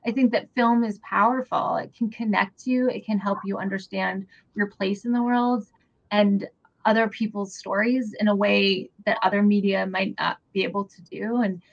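Brief: woman guest on a radio show, earnest and halting, muffled lo-fi sound. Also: medium pitch. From New Hampshire, USA. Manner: earnest, warm, many ums and restarts. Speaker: guest, theater executive director. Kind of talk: radio interview answers. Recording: dull lo-fi radio audio.

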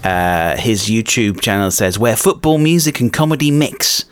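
A man hosting a podcast in casual chat, hesitant and quick to laugh. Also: lowish voice, lively intonation, clean tone. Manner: giggly, hesitant, laughs often. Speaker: podcast host.

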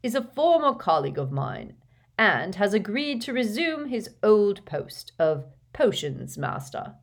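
A woman's voice: mid-range voice, very animated, pitched above the performer's own voice. Elderly wizard headmaster for a storybook, woman performing: genial, commanding, airy and stately. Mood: stately, announcing. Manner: stately, airy, measured public address.

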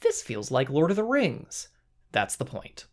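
A man's voice: mid-range voice, wildly swooping pitch, measured pace. Enthusiastic man co-hosting a podcast. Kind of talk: casual podcast chat about tabletop games.

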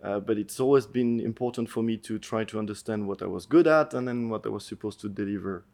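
A middle-aged man from France, a podcast guest telling his story in an earnest, thoughtful way, often pausing to find his words. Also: on the low side, creaky, a little mellow. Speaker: podcast guest, endurance athlete. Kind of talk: reflective storytelling interview.

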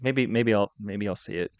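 A man's voice: fairly low pitch, moderate pace, no room sound, dull audio. A middle-aged man talking casually and conversationally as the co-host of a podcast.

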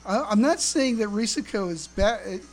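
A woman co-hosting a casual fan podcast, speaking haltingly and repeating words as they go. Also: medium pitch, animated, darkish tone. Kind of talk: casual chat.

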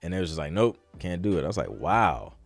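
A man's voice: deep voice, big pitch swings, quick pace, vocal fry, dry recording, faint music behind. A man hosting a podcast, talking in an informal, slangy, conversational way as in a live Q&A. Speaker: podcast host. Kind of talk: casual live Q&A chat.

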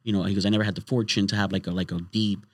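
A man's voice: fairly low pitch, little pitch movement, racing, no echo. A man hosting a casual podcast conversation in a studio, rambling and restarting his sentences as he goes. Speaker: podcast host. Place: small podcast studio, indoors.

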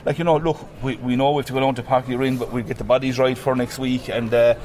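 Flat fast-talking Irish team manager. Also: male voice, medium pitch. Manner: rapid, filler-heavy, flat delivery. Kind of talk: post-match radio interview.

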